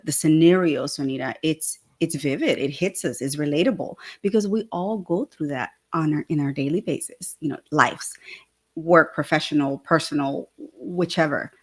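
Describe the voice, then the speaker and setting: Brief: upbeat woman host, lowish voice, warm praise for the guest. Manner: enthusiastic, praising, laughs. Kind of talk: livestream panel talk.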